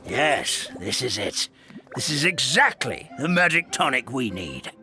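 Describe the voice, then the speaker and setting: A man's voice: mid-range voice, sing-song, unhurried. An actor playing a loyal, formal old servant who addresses his mistress with courtly deference. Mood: deferential. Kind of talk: video game cutscene dubbing. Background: game music.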